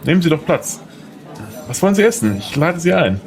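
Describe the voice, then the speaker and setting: A man's voice: a little high, big pitch swings, a little fast, a little mellow. A man playing a polite, slightly unsure and charming young lawyer in an audio drama. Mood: friendly, charming. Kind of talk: German audio drama dialogue.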